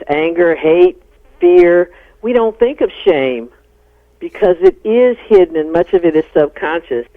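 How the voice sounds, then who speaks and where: A middle-aged American woman explaining things as a psychologist guest in a radio interview. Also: on the low side. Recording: narrow-band phone-like radio sound.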